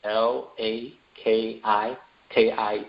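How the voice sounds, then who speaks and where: A man from Taiwan answers interview questions in an earnest way and often pauses on fillers. His voice is very low. The audio sounds dull and a bit lo-fi. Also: flat delivery, gravelly. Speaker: guest, entrepreneur and parent.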